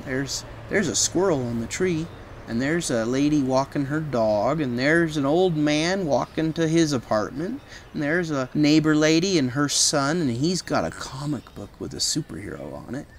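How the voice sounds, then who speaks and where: Lady doing a kids read aloud, chatty asides, really lively pitch, bit of background noise. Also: moderate pace. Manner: chatty, explains pictures to children. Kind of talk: children's picture book read-aloud with casual asides.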